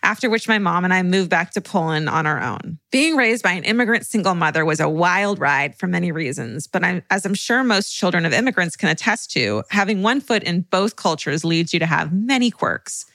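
Low-voiced, laughing woman co-host. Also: lively intonation, a little fast, hardly any pauses, fairly bright tone, dry recording. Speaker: podcast co-host. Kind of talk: casual podcast chat and reading listener letters.

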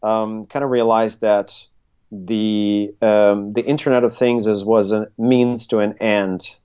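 A middle-aged man, speaking conversationally as an interview guest and haltingly thinking his way through his answers. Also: on the low side, little pitch movement, mellow, clean tone, lo-fi sound. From Russia, Soviet Union. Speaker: interview guest, tech consultancy CEO. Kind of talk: conversational interview, recounting career.

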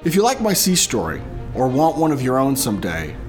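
A man's voice: mid-range voice, huge pitch swings, a little fast, darkish tone. Middle aged american navy guy telling a story, plain spoken and chatty, music going behind him. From Texas, USA. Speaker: navy admiral telling his own story. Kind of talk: casual first-person storytelling for a podcast.

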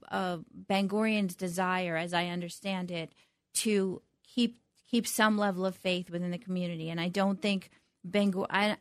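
A middle-aged woman speaking as an interview guest, reflective and halting, often restarting her sentences. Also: fairly low pitch, dry recording. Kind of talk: conversational interview.

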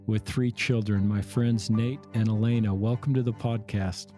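A man hosting an interview podcast, introducing his guests in a gentle, rambling way. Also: on the low side, steady tone, moderate pace, faint music behind. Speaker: podcast host.

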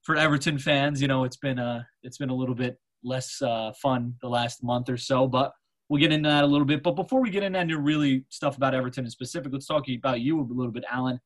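Young male podcast host from America, mid-pitched and halting. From United States. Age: 20s. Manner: hesitant, lots of fillers. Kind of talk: casual podcast hosting.

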